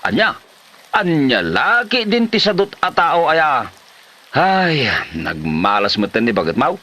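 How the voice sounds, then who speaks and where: A man's voice: on the high side, sing-song, slow pace, sharp tone, exaggerated voice. A man playing an exasperated older village father figure who scolds and exclaims in a comedy drama. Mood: scolding.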